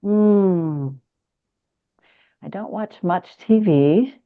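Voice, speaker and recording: A woman's voice: mid-range voice, extremely slow, clean tone, narrow, tinny audio. A woman speaking conversationally about spiritual matters as a podcast guest, in an earnest, affirming manner. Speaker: spiritual guide working with clients.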